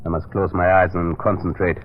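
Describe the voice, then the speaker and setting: A man playing a sinister, confident hypnotist doctor in old radio drama style, speaking with slow, suave menace. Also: low voice, flat delivery, lightly acted. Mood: commanding. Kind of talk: 1940s radio drama acting. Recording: muffled, noisy old radio recording.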